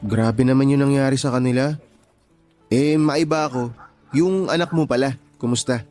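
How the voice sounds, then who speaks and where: A man playing an earnest, friendly young coworker in gentle, conversational radio drama dialogue. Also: medium pitch, moderate pace, faint music behind, natural voice. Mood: concerned.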